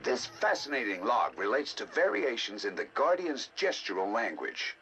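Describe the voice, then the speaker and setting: An actor playing a learned, eager researcher, narrating game audio logs in a measured, articulate, intrigued manner. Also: male voice, mid-range voice, sing-song, pushed and bright, a little hiss or hum, poor audio. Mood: measured, scholarly. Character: learned male researcher, eager and fascinated by alien ruins.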